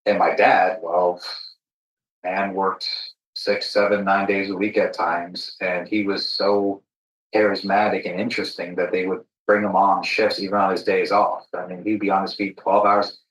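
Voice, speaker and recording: A man's voice: sits low, little pitch movement, moderate pace, a bit bright. A man talking casually and reflectively on a podcast, telling stories earnestly with emphatic, broken-up phrasing. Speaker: podcast co-speaker. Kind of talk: casual reflective conversation, storytelling. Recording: roomy, echoey sound.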